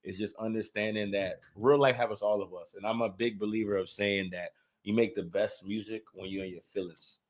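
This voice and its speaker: A man's voice: on the low side, fairly even intonation, brisk. A man in his thirties, a musician guest in a casual interview, laid-back, laughing often and repeating phrases. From Silver Spring, Maryland.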